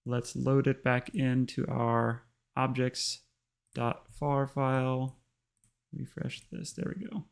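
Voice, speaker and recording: Guy walking you through a tutorial, kinda hoarse, gravelly, says um a lot. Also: mid-range voice, darkish tone. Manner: chatty, full of ums and uhs. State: slightly hoarse. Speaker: host. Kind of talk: casual screen-recorded tutorial narration.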